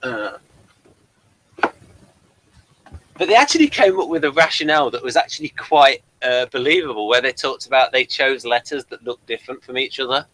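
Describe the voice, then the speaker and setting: Bright-voiced man chatting as review host. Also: mid-range voice, expressive, normal speed, long pauses, a little hiss or hum, low-quality recording. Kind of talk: casual review chat.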